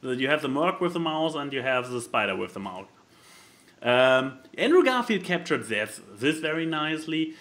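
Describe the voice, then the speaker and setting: A man chatting casually about films as a podcast host, in an animated, rambling way that often stalls and repeats itself mid-thought. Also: on the high side, normal speed. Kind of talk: casual film chat.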